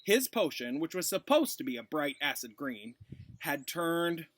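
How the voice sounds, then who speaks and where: A man, a teacher, reading a storybook aloud in an expressive narrating style. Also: fairly high pitch, measured pace.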